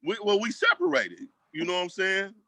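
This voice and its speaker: Casting: American man, community advocate, bright punchy voice, dry close sound, casual but emphatic interview talk. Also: high voice, very animated, moderate pace, creaky, no echo. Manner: emphatic, colloquial, repeats phrases for emphasis. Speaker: school district equity staff member and community advocate.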